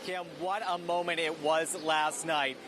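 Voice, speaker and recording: A man delivers a live news stand-up outdoors. His voice sits high, and urban street noise is clearly audible around it. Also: flat delivery, unhurried. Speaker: TV news reporter. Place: outdoors in a city.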